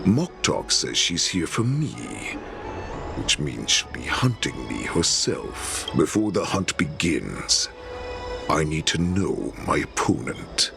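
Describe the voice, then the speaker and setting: A man voicing a menacing, ancient wolfish beast-man warlord in a video game, with a deep gravelly growl and a taunting, theatrical manner. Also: sing-song, music in the background, full character voice. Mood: cold threatening. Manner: slow, taunting, theatrical threats.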